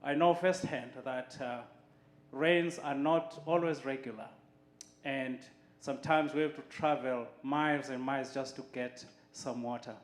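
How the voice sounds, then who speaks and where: A man's voice: medium pitch, steady tone. Earnest man from Zimbabwe, roomy hall. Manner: slow, earnest, with frequent "uh" pauses. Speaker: board member of a water charity. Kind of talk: short welcome speech to a church audience. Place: church hall.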